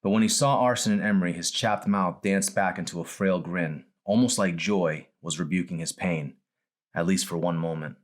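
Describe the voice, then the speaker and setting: A man who is an author, reading his own novel aloud in an expressive storytelling style. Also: sits low, normal speed. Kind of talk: expressive audiobook-style narration.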